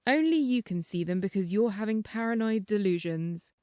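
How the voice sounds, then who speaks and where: A calm hospital doctor read by a woman narrator speaks in a gentle, reassuring way. The pitch is moderate and the delivery measured, as in a storybook reading with a very dry sound. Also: no echo, natural voice.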